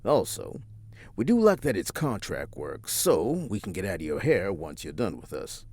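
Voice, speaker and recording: A man acting as a smooth-talking undercover operative with a deep, husky voice in an audio drama. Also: sing-song, normal speed, a little mellow, dry recording. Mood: easygoing, assured.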